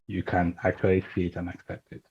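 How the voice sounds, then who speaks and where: A man's voice: sits low, completely flat delivery, fast talker, a little mellow, muffled recording. A man talking as a guest, thoughtful, often checking in with "right?" and "you know". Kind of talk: casual conversation.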